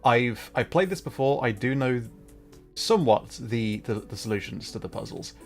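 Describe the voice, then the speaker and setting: A man's voice: medium pitch, lively intonation, normal speed, faint music behind. A man hosting a game livestream in a casual, chatty and jokey style, lightly self-deprecating. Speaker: livestreamer, host. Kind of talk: casual game stream chat.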